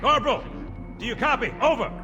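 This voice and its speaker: An actor playing a gruff, commanding, short-tempered male marine sergeant in a video game, barking orders with authority. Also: very high voice, fairly quick, music in the background. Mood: stern, irritated. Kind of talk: video game cutscene acting.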